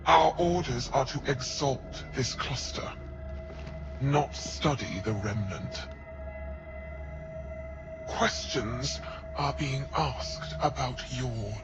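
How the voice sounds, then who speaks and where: An actor playing a stern, formal, zealous alien officer in a video game cutscene. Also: male voice, medium pitch, slow, long pauses, highs cut off, loud music behind the voice, close to an everyday voice. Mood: stern reproach.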